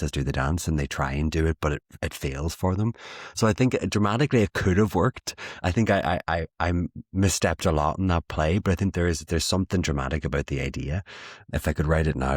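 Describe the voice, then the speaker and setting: Casting: young Irish playwright, very low husky voice, self-mocking storyteller, dry close sound. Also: male voice, no echo. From Ireland. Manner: self-deprecating, animated storytelling with laughs. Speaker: playwright guest. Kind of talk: interview conversation.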